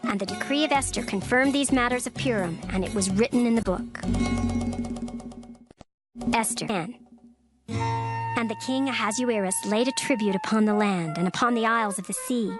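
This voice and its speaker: A woman reads scripture in a steady, formal way, pacing every phrase carefully. Her voice sits slightly high, and clear music runs underneath throughout. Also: normal speed, long pauses. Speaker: narrator.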